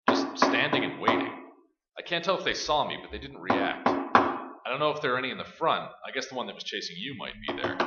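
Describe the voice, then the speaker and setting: Man acting a determined protective survivor, practical, urgent, animated delivery, horror radio drama, dull noisy stage recording. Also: medium pitch, reverberant, natural voice. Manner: commanding, explanatory, urgent.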